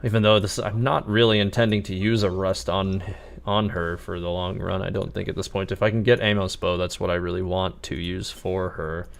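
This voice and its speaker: A man's voice: deep voice, level tone, brisk, gravelly. A man casually commentating on a live game stream, musing and thinking aloud.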